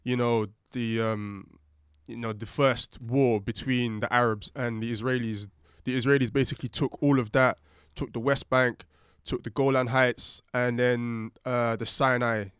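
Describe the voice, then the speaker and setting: A young man talks through things casually, hedging and dropping slang. His pitch sits in the middle. The recording is dry and close. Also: steady tone, a little slow, hardly any pauses, fairly bright tone, smooth, no room sound. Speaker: podcast co-host. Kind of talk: casual chat.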